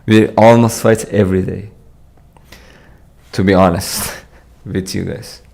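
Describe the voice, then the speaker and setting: A man's voice: low-pitched, flat delivery, takes it slowly, gravelly, darkish tone. A young man from Iran, live streaming at a computer, casually explaining contest solutions and reading chat with frequent restarts. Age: young adult. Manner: casual, hesitant, restarts sentences, laughs while reading chat.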